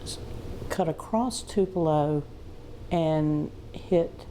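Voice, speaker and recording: A woman giving an unscripted museum tour, telling stories in halting, emphatic phrases. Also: deep voice, dark tone, slight background noise, rough recording. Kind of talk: unscripted guided tour talk. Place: inside a museum.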